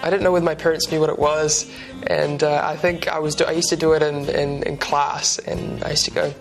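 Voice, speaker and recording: A man's voice: on the high side, level tone, fairly quick, vocal fry, rough recording. Young kiwi guy in his twenties, laid back and rambly, lots of ums, music going under him on a tv couch. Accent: New Zealand. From New Zealand. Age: 20s. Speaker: musician guest. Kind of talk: casual interview chat. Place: TV studio couch.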